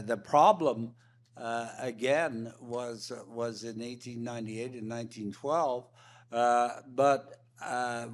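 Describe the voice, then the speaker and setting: Slow, halting man on a panel. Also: mid-range voice, a little hiss or hum. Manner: halting, repeats words and restarts phrases often. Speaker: roundtable panelist. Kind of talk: impromptu panel remarks.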